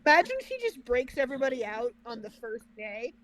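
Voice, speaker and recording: A woman's voice: a little high, sing-song, a little fast, fairly bright tone, poor audio. A woman co-hosting a reaction video, chatting casually with dry, joking asides.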